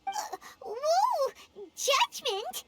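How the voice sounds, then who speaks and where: A woman voicing a tiny childlike fairy companion in a video game, excitable, exclamatory and cheeky. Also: very high voice, very slow, very noisy, exaggerated voice. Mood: nervous, startled. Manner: exclamatory, refers to herself in third person. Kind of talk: cartoonish video game voice acting.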